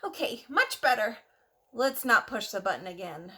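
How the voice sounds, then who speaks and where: A woman hosting a story time for young children, speaking in an expressive, sing-song and encouraging way. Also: a little high, moderate pace, husky. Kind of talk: read-aloud addressed to young children.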